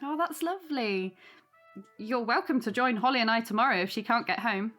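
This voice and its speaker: Woman, acted, fairly high voice, kindly, hospitable, soothing, radio play, music behind. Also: fairly quick, a bit bright, natural voice. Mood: welcoming. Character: kindly, welcoming woman hosting at Christmas, eager peacemaker. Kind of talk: audio drama acting.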